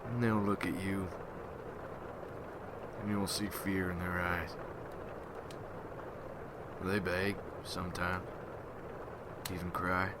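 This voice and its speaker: A man playing a gruff, hardened vampire hunter in an ASMR roleplay, speaking in folksy rustic slang as he mentors a newcomer. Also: deep voice, level tone, takes it slowly, pauses often, heavy background noise. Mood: grave.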